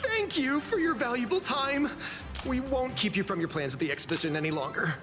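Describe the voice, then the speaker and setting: A woman's voice: on the high side, animated, fairly quick, close to an everyday voice. A woman playing an eager, polite but probing female TV reporter in a scripted video game scene. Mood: curious, probing. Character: chirpy, nosy female TV reporter. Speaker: TV interviewer. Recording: music under the voice.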